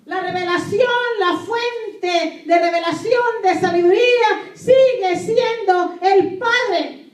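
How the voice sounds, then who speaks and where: A woman pastor delivers an emphatic sermon in a very high voice. She repeats key phrases and questions her listeners as a native Spanish speaker. The sound is echoey, picked up some distance from the microphone. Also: slow. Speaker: pastor preaching to a congregation. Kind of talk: sermon with scripture reading.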